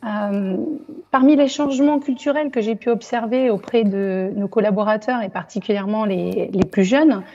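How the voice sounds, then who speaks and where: A woman's voice: mid-range voice, normal speed, a little mellow, highs cut off, slight background noise. A woman from Limoges, a company head, answering interview questions in a composed, thoughtful way. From France, Limoges. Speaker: business owner, guest.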